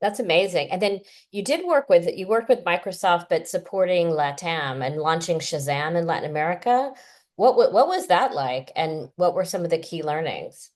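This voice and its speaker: A woman hosts a casual interview. Her voice sits on the low side. She asks questions in a chatty, emphatic way. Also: expressive, moderate pace, a little mellow. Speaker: interview host.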